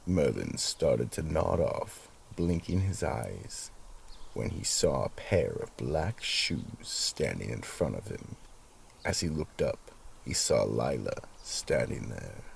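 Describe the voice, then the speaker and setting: An actor playing a grave, gravelly male narrator of a supernatural mystery radio play. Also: very deep voice, big pitch swings, slow, a little hiss or hum, exaggerated voice. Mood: grave, suspenseful.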